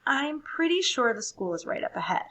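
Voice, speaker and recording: A woman voicing an eager, excitable schoolboy full of confident schemes in a storybook. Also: sits high, moderate pace, pitched above the performer's own voice, full character voice.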